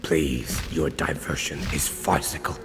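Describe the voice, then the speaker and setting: An actor playing an arrogant, gloating villain's henchman in a video game, with a sneering, measured delivery. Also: steady tone, exaggerated voice. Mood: smug gloating. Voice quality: very deep, gravelly. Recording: music behind the voice.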